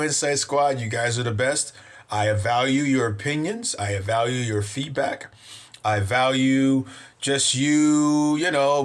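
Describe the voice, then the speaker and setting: A man's voice: medium pitch, a bit bright. A man hosts his own video channel and chats casually with his audience in a mid-range voice. He talks in an upbeat, grateful way and rambles, leaning on "you know" between thoughts. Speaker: vlog host. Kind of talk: casual chat to viewers.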